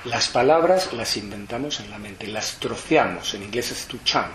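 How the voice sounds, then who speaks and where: A man's voice: on the low side, lively intonation, normal speed, a bit bright. A man from lecturing to an audience, reflective and explanatory, often restarting his sentences. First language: Spanish. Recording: roomy hall echo, faint background noise.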